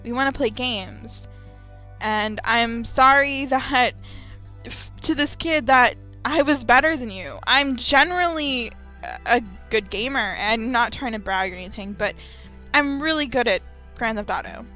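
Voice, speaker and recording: Young woman, very bright voice, faint background music, earnest, emphatic, halting, casual personal rant. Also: on the high side. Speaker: gamer, video maker.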